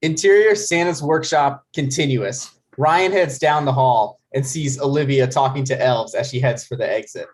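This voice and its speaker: A man's voice: medium pitch, moderate pace, hardly any pauses. A man reading stage directions as scripted narration for a table read.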